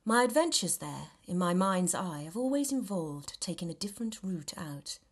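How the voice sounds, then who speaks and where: A woman reading a novel extract aloud in an expressive, theatrical and measured storytelling style. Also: lowish voice, husky. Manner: theatrical, measured storytelling with dramatic emphasis and hushed dips. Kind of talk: expressive scripted audiobook narration.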